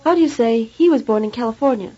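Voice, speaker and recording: A woman narrating a language course tape in a measured, teacherly way. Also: fairly high pitch, mellow. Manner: measured, clear teacherly delivery. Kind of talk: scripted instructional narration. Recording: dull lo-fi tape recording.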